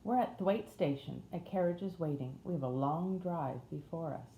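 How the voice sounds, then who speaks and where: A woman's voice: low-pitched, normal speed, warm tone. Woman as a stern housekeeper, roomy sound. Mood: matter-of-fact. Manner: firm, curt, instructing. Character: stern, bossy adult housekeeper. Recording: roomy echo, faint noise.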